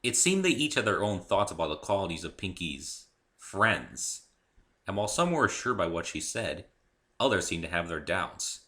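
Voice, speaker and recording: Deep, very bright male story narrator. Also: very animated, moderate pace. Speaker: amateur reader and author of the story. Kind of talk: dramatic first-person story narration.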